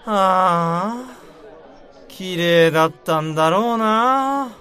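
A man's voice: high-pitched, very slow, bright, forceful tone, soft background music, a faint crowd, full character voice. An actor playing a sulky, whiny, lovelorn young man in a radio drama, with blunt, casual, exclamatory speech. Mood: wistful, sulky.

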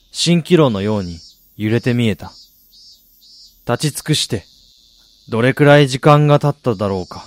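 An actor playing a broken middle-aged father in a radio drama, heavy and introspective, halting with remorse. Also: mid-range voice, no room sound, close to an everyday voice. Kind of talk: radio drama narration and acting.

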